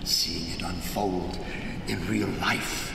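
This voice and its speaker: An actor playing a scheming nobleman in live gothic radio theater, falsely courteous and sly, with theatrical, deliberate phrasing. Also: sits low, fairly even intonation, gravelly, full character voice. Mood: brooding narration. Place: large hall before a live audience. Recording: echoey hall, live stage, noisy background.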